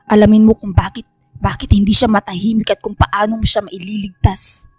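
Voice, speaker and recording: A husky woman's voice with little rise and fall, an actress as a solemn professor in a radio drama. She guides with grave, deliberate authority and a portentous edge. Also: medium pitch, level tone, darkish tone, no echo, clean studio sound, faint music behind, close to an everyday voice. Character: solemn, commanding female professor, mystical mentor.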